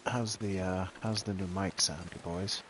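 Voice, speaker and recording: A laid-back man with a gravelly, soothing smoker's voice, giving casual commentary on a survival game as he plays. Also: deep voice, fairly even intonation, a little slow. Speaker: game streamer. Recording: clearly noisy background.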